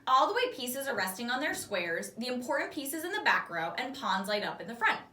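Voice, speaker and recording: Animated woman reading a storybook, roomy sound. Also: fairly high pitch, a little fast. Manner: animated, acts out the dialogue. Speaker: fifth grade teacher reading aloud. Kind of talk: expressive children's storybook read-aloud. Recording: roomy echo, distant microphone.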